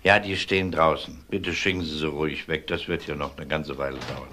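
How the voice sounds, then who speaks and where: A man's voice: rumbling low, steady tone, a little fast, pushed and bright, slight background noise. A man playing a composed police detective in a crime radio drama, questioning politely and methodically. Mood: probing. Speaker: actor.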